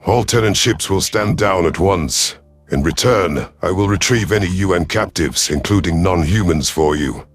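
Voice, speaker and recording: A man voicing a cold, calculating alien predator commander in a sci-fi audio story, imperious and menacing, with a rough husky voice. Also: sits low, moderate pace, soft background music. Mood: imperious, threatening. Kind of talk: dramatic first-person sci-fi audio story.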